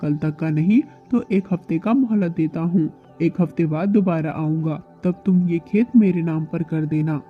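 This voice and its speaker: A man's voice: a little high, moderate pace, few pauses, close to an everyday voice. A man playing a greedy, callous crow moneylender in a children's cartoon, demanding and dismissive. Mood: threatening, dismissive. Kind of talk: Hindi children's cartoon dialogue. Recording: thin phone-like audio with music underneath.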